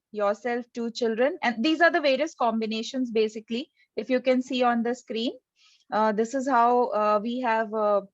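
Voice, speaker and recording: A woman's voice: fairly high pitch, dry recording, dull audio. A woman presenting an insurance scheme on a video call, explaining step by step with many fillers and hesitations.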